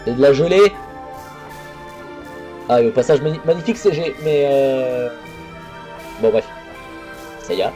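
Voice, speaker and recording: A man's voice: mid-range voice, expressive, a little slow, pauses often, warm tone, faint music behind. A man hosting a let's play, chatting casually over a horror visual novel with crude jokes and frequent restarts. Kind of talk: casual game commentary.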